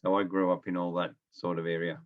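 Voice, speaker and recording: Dull call audio, man, Australian accent, low pitch, laid-back, colloquial, casual storytelling as interview guest. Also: level tone, quick pace. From Melbourne, Australia. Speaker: interview guest, experienced nurse. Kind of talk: casual anecdotal chat. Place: at home, on a remote call.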